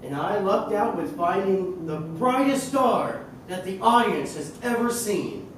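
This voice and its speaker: A stage actor playing a smooth-talking, scheming circus magician in a theatrical play. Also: medium pitch, animated, unhurried, close to an everyday voice. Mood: persuasive. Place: indoor theater stage, distant mic. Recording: echoey theater stage from far off, faint noise.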